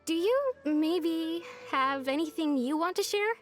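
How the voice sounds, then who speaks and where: An actress playing a shy, gentle young village woman who talks softly and hesitantly, often trailing off. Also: very high voice, expressive, measured pace, faint music behind. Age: young adult.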